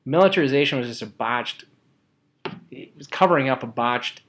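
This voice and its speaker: Male presenter, mid-pitched voice, informal and colloquial, halting delivery as he talks through slides. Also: expressive, a bit bright.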